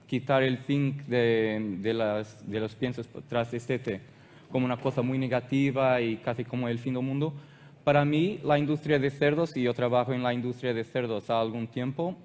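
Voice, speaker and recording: Portuguese-accented male presenter, halting non-native delivery, echoing hall sound. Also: medium pitch, darkish tone. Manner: halting, searches for words and restarts often. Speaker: conference speaker. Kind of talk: technical conference presentation.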